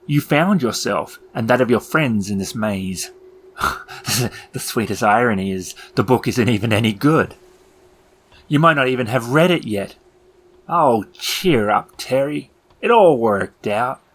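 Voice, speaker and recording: A man's voice: medium pitch, animated, breathy edge. A man voicing a menacing, mocking elf clown villain in a theatrical, taunting way for a tabletop game. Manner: theatrical, slow taunting delivery with sudden outbursts.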